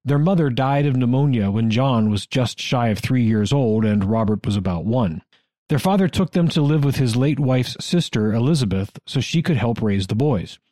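Mellow-voiced American man, dry studio sound. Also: medium pitch, animated, normal speed, no room sound. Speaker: podcast co-host. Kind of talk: scripted conversational history podcast narration.

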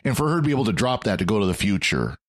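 A man's voice: on the low side, sing-song, very fast, no echo, studio quality. A man co-hosting a podcast, talking enthusiastically and often repeating words as he begins. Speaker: podcast co-host. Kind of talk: casual discussion.

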